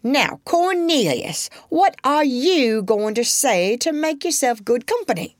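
A woman's voice: very animated, a little slow, no room sound. Bossy rustic storybook mother with a big swooping voice and dry close sound, as a woman puts her on. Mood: scolding. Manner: scolding, high-pitched and emphatic. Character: bossy proud poor mother, rustic speech.

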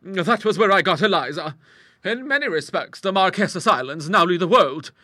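A man voicing a brisk, outspoken middle-aged English aunt in a storybook, hearty, emphatic and used to commanding. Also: sits high, animated, sharp tone, dry recording, studio quality, pitched above the performer's own voice, exaggerated voice. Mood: hearty, blunt. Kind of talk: storybook character voice in an audiobook.

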